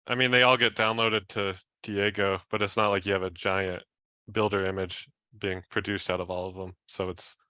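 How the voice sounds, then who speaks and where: A man with a fairly low but bright, crisp-edged voice chats about technical matters. He speaks informally and hedges, heard close and dry through a headset. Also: level tone, normal speed, dry recording. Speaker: software engineer on a working group call. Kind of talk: casual technical discussion. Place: room at home, video call. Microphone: headset boom mic.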